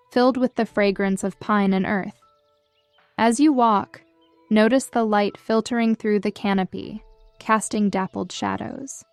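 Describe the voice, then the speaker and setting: A woman narrating a scripted guided meditation in a soothing, measured and gentle manner. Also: mid-range voice, clean tone, no room sound. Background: background music.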